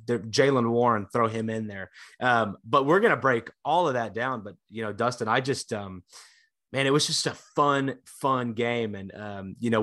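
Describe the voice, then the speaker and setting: A young man co-hosting a sports podcast, excitable and chatty with lots of fillers, a bit congested. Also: medium pitch. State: congested from illness. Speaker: podcast co-host. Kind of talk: casual sports podcast chat.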